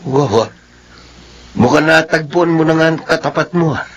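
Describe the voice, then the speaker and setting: An actor playing a skeptical, teasing best buddy with mocking disbelief in a Filipino radio drama. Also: male voice, on the high side, normal speed, lightly acted. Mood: mocking, amused.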